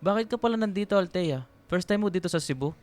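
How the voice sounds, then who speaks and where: An actor playing an easygoing young man in a radio drama, casual and gently teasing. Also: high-pitched, lively intonation, fast talker.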